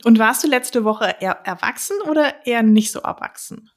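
A woman's voice: fairly high pitch, lively intonation, brisk. A woman in her forties co-hosting a podcast, asking questions in a friendly, encouraging way. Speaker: podcast co-host. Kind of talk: casual podcast interview.

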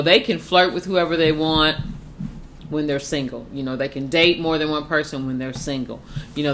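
A woman's voice: low-pitched, a bit bright, slight background noise. A woman co-hosting a podcast, giving blunt, profane relationship advice in a casual, preachy way. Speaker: podcast co-host.

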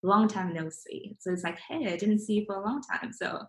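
A young woman from Singapore hosting a casual interview, chatty and quick to restart her sentences. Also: medium pitch, lively intonation. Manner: chatty, restarts often, many fillers. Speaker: podcast host. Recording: echoey room.

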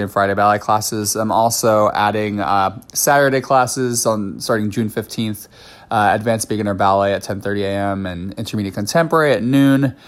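A man hosting his own podcast, talking casually and candidly while he has a cold, with lots of fillers and quick asides. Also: lowish voice. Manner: chatty, candid, many fillers and self-asides. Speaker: podcast host. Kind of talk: casual solo podcast talk.

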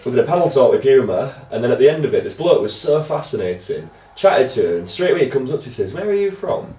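Mellow Yorkshire man, echoey and distant. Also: mid-range voice, very animated, fast. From Driffield, East Yorkshire. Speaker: podcast host. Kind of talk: casual chat. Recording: echoey room, distant from mic.

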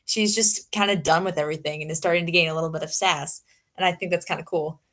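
A teenage girl talking casually as a podcast guest, acting out quotes in an animated manner. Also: low voice, fast. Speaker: student actor, podcast guest. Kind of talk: casual chat.

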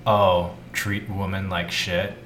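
A man co-hosting a casual podcast chat, talkative and quick to laugh, with many "like" fillers. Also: low-pitched, little pitch movement, a bit bright. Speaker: podcast co-host. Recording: roomy echo, faint noise.

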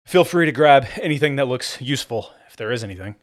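A man's voice: mid-range voice, expressive, fast, lightly acted. Man playing a curt, kindly man on the run. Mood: urgent. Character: terse, demanding young man on the run, secretly kind.

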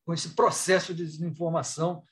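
A man with a Brazilian accent speaking spontaneously as an academic in an online panel, halting and discursive, often rephrasing and adding tag questions. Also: fairly high pitch, level tone, fairly bright tone. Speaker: academic researcher and thesis supervisor.

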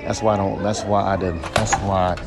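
A young man with a droll baritone voice, ranting bluntly and profanely on his solo podcast. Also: little pitch movement, normal speed, over music. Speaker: podcast host and rapper.

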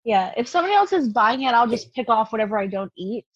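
Higher-voiced woman, blunt casual podcast co-host, dry and slightly muffled recording. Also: sing-song, a little fast, slightly rough, dry recording. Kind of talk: casual chat.

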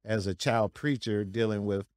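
A man's voice: fairly low pitch, moderate pace, darkish tone, no room sound. A man hosting an interview show, asking his guest questions in a casual, conversational way. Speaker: podcast host.

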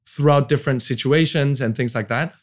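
A man's voice: mid-range voice, fairly quick. A man in his forties from Hong Kong, talking casually as an interview guest about his career, with hesitant phrasing. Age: 40s. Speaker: podcast guest, venture capital founder.

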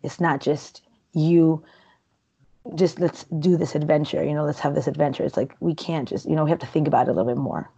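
A woman's voice: low voice, fairly even intonation, fairly quick, vocal fry, mellow, slightly rough, dull audio. A woman from Peru in her thirties, talking casually as an interview guest, chatty and rambling with many fillers and restarts.

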